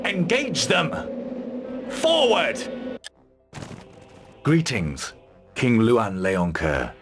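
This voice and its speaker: An actor playing a bold, resolute mercenary commander in a video game cutscene, gruff and blunt in delivery. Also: male voice, mid-range voice, wildly swooping pitch, drawn-out words, music in the background, heavily acted. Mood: commanding shout.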